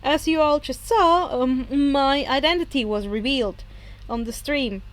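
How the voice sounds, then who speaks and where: A woman's voice: sits high, a little slow. A woman voicing an awkward, friendly teenage boy streamer, chatty and hesitant with ums. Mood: sheepish.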